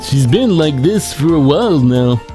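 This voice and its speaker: A woman's voice: sits low, animated, normal speed, over loud music. A woman voicing a brash, upbeat and slightly awkward well-wisher in a courtroom video game. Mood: flustered.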